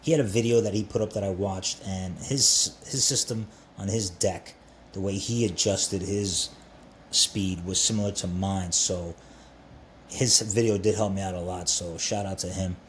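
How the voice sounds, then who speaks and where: A low-pitched male voice with clear background noise around it. He hosts a casual repair tutorial indoors, speaking informally and often repeating phrases as he explains each step. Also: fairly even intonation, moderate pace. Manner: informal, repeats himself, talks viewer through steps. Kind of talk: casual hands-on how-to narration. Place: indoors at a workbench.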